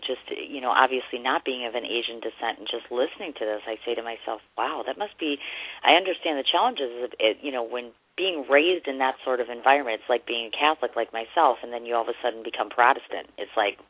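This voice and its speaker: A man's voice: mid-range voice, fairly quick, husky, dry recording. Affable man host on a phone line. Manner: affable, rambling questions with filler. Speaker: interview host. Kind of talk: casual interview chat. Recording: dry, tinny phone line.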